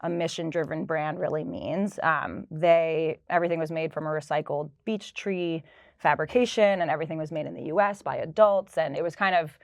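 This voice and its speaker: A woman's voice: deep voice, brisk, gravelly, no room sound, clean studio sound. A woman in her thirties, a sustainability director, telling her career story fluently in a casual interview. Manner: fluent, storytelling, light laughs. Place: office.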